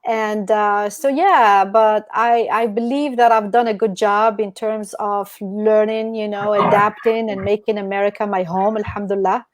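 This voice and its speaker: Female guest from Algeria, mid-pitched, thoughtful and earnest, restarts her sentences. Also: steady tone, moderate pace, few pauses. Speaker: guest, business coach. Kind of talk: interview answers.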